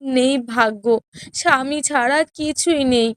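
A woman voicing a meek, sorrowful elder wife in a children's cartoon, pleading gently and submissively. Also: high-pitched, a little slow, clean tone, dry recording. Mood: sad, pleading. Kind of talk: children's cartoon story dialogue.

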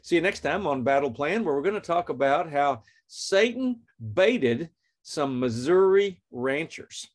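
A man's voice: on the high side, lively intonation, measured pace. A man in his fifties hosting a faith podcast, telling a story and reading scripture in an earnest, plain way. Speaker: podcast host.

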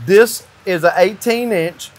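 A man's voice: high voice, takes it slowly. A man in his fifties giving a hands-on explanation as a builder, making his points emphatically, step by step. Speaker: company owner explaining construction. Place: indoors in a metal-walled barn or shop.

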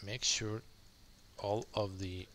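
A man explaining software work informally as he thinks aloud, pausing mid-sentence and often checking with a short tag question. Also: lowish voice, fairly even intonation. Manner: halting, pauses mid-sentence, checks with "right?". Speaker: software developer, tutorial host. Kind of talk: informal live coding walkthrough, thinking aloud. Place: indoors at a computer, screen recording voice-over. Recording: faint background noise.

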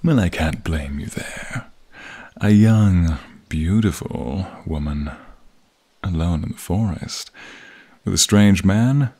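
Casting: a male actor as a smug, menacing fantasy archmage for ASMR roleplay, very low and husky, teasing and seductive. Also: animated, warm tone, full character voice. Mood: teasing, probing. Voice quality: husky, breathy, gravelly. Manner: slow, teasing, smug, drawn-out murmurs. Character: alluring, menacing male archmage, smugly toying with captive.

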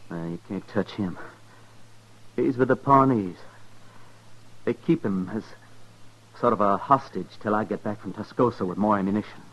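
A man's voice: on the low side, moderate pace, long pauses, natural voice. An American man playing a cornered frontier gun smuggler, defiant but fearful, with folksy speech, in 1950s radio western style. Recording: muffled, noisy 1950s radio recording.

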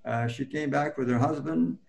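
Older man with a gravelly voice. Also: medium pitch, steady tone. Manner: halting, with many fillers and restarts. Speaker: panel guest. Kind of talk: personal recollection in an interview. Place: home room, indoors. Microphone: video call.

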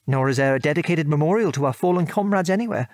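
A man's voice: a little high, fast talker, darkish tone, no room sound, studio quality, natural voice. An actor playing a modest, remorseful English father and former RAF bomb aimer in an audio drama, with a British accent and hesitant, genteel speech. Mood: bitter. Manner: hesitant, reflective, genteel old-fashioned turns of phrase. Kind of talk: audio drama acting, reminiscing monologue.

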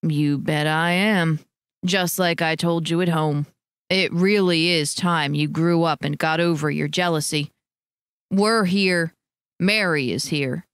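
A grounded, unbending husband, voiced by a woman reading aloud. His lines come lower and slower, calm and firm, in a dry, close recording. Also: smooth, no echo. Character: firm, steady husband, warm but unbending.

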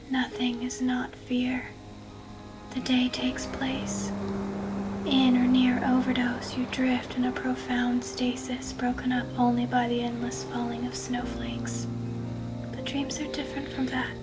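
A young woman giving an intimate literary reading in a hushed, breathy, whispery and deliberate voice. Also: high voice, far from the mic. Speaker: reader of a book excerpt. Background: music.

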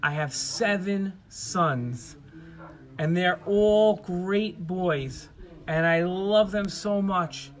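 A man's voice: high voice, extremely slow. A man voicing a tearful, apologetic widowed mother of seven in a story, pleading simply and plaintively. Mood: pleading, sorrowful.

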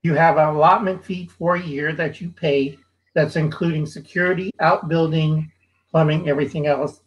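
Hoarse middle-aged man on a headset. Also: fairly high pitch, steady tone, normal speed, highs cut off. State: losing his voice. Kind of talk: casual video call chat. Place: indoors, home. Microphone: headset on video call.

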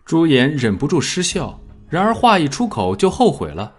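A man narrating a scripted dramatic story in an expressive storytelling manner. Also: on the high side, moderate pace, soft background music. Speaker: audiobook narrator.

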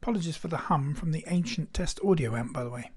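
A man narrates a technical hobby demonstration from a script. His voice sits a little higher than the average man's, and he speaks in the manner of an explainer. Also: lively intonation, fast talker, very noisy.

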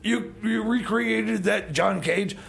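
A man playing a nervous, tactful sidekick in a comedy radio play, stammering and hesitant with a gravelly voice. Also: sits high, takes it slowly, fairly bright tone, heavily acted. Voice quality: gravelly, creaky. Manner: stammering, hesitant, repeats words. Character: nervous, tactful sidekick reluctantly correcting his boss.

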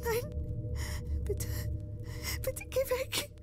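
A woman's voice: extremely high pitch, fairly even intonation, very slow, music in the background, full character voice. A woman playing a frightened sixteen-year-old daughter in a horror radio play, stammering and pleading. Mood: terrified.

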